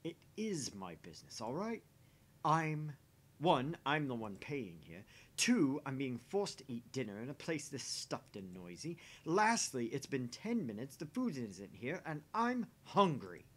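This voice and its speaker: A man's voice: big pitch swings, moderate pace, breathy edge. A man voicing a smug, jaded husband in a visual novel, mocking and condescending as he teases. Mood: irritated, demanding. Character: smug, sneering, jaded married man teasing his dinner date. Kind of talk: voice-acting visual novel dialogue. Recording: faint background rumble and noise.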